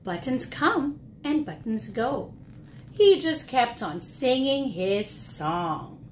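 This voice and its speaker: A woman preschool teacher reading a picture book aloud to young children in an exaggerated, expressive way, asking questions as she goes. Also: fairly high pitch, takes it slowly, slight background noise. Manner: gasps and drops to whispers for suspense. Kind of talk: animated children's storytime read-aloud.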